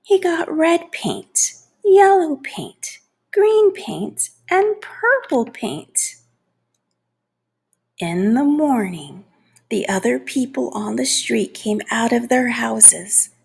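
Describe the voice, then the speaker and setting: Woman, storybook narration, unhurried, husky, expressive, lingers on key words. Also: a little high. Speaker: storybook reader. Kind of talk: expressive children's read-aloud narration.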